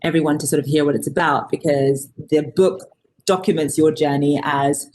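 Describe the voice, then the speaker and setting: Low-voiced woman moderating a panel. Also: fairly even intonation, normal speed, a little mellow, slight background noise.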